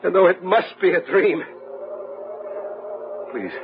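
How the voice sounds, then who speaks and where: Haunted madman in an old radio horror play, halting, muffled recording w music behind. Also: high-pitched, expressive, heavily acted. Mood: dreading. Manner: halting, repeating words in dread. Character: tormented man consumed by fear and madness. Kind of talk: old-time radio horror drama acting.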